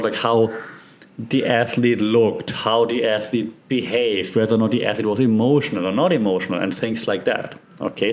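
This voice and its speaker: A man's voice: medium pitch, darkish tone. A man from Germany with a German accent, hosting a podcast and explaining ideas in a chatty monologue, often checking in with his listeners. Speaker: podcast host.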